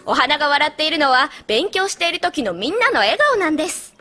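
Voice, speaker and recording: A woman's voice: sits high, very animated, a little fast, music in the background. A woman voicing a kindly, earnest schoolteacher pony in a dubbed cartoon, explaining politely as if to a class. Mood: gentle, instructive.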